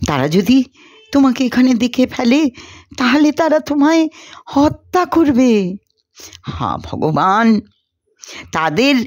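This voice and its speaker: A woman's voice: a little high, no echo, clean studio sound, full character voice. A woman voicing a restless, idealistic young male student in a storybook, declaiming earnestly and theatrically. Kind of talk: storybook character dialogue.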